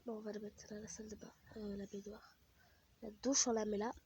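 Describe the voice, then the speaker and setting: A woman's voice: mid-range voice, flat delivery, a little fast, a little mellow, slightly rough. A woman with a French accent and a stuffy nose from a cold, chatting casually as she plays a game, easily annoyed and muttering asides. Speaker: gaming vlogger.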